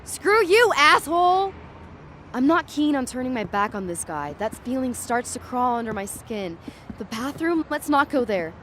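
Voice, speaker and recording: A woman's voice: sits high, sing-song, normal speed, fairly bright tone. A woman playing an uneasy, tripping young woman walking home at night, narrating in the first person in a dramatic audio story. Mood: angry, defiant, then confused, anxious. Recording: clear street and traffic ambience behind.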